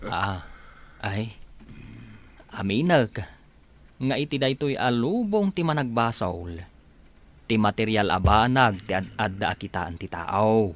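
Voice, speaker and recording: A man's voice: lowish voice, lively intonation, slow, long pauses. A man playing an earnest, respectful but moralising household servant in a radio drama. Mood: preachy. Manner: respectful yet preachy. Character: earnest, moralising male household servant addressing his mistress.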